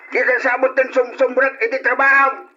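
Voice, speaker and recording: A very high, strained voice on a dull radio recording: an elderly, meddling father-in-law. He scolds with indignant reproach in old drama style. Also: flat delivery, moderate pace, bright, forceful tone, slight background noise, full character voice.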